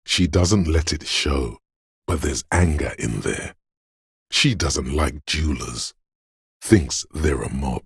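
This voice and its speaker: A man voicing a paranoid, aggressive inner voice of primal fear in a video game, menacing, urgent and ominous, with a gravelly, husky sound. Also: a bass voice, slow, no room sound, clean studio sound, full character voice. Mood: tense, menacing. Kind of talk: video game voice acting, second-person dramatic narration.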